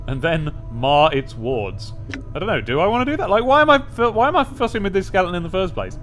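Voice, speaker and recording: A young man giving a gaming commentary, thinking aloud and reading game text in a playfully expressive way, close to the mic. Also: a little high, slight background noise. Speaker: let's play host. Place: small indoor room at a desk. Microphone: close studio mic on an arm. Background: game music.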